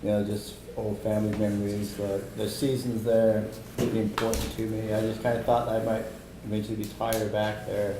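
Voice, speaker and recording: A man in his sixties from Idaho, speaking in a plainspoken, halting way as a focus group participant. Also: fairly low pitch, flat delivery. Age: 60s. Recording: echoey hollow room with background noise.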